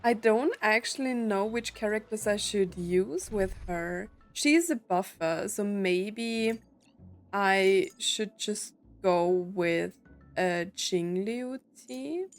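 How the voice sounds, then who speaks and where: A woman's voice: mid-range voice, slow, faint music behind. A woman giving casual, chatty commentary on a game she is playing, thinking aloud as she goes. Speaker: gaming streamer.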